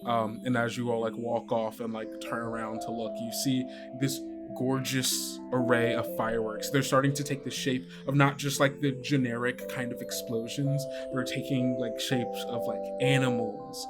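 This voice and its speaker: A man improvising descriptive narration as game master of a tabletop roleplaying game, in a halting way. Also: mid-range voice, little pitch movement, loud music behind the voice.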